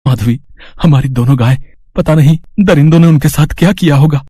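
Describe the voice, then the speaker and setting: A man's voice: on the high side, brisk, warm tone, husky, dry recording. A man playing a devout, anxious village husband in a story, speaking in an earnest, pleading way. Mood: grieving. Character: devout, anxious village husband who loves his cows. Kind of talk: story voice acting.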